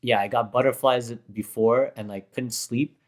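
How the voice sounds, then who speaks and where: A hoarse man chatting casually, rambling and correcting himself as he recalls a performance. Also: mid-range voice, steady tone, moderate pace. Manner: rambling, self-correcting storyteller. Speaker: rapper reacting to his own performance. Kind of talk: casual chat.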